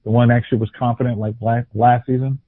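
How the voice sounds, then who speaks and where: A man chatting casually as a podcast co-host, rambling and halting, often restarting his sentences. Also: mid-range voice, level tone, no room sound. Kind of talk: casual chat. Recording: dry, tinny phone-like audio.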